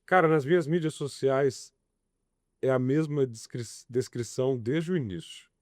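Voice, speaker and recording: A man's voice: medium pitch, a little slow, a little mellow, no echo, clean studio sound. A man, answering interview questions with emphatic, passionate delivery. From Belo Horizonte, Brazil. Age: 40s. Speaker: political candidate guest.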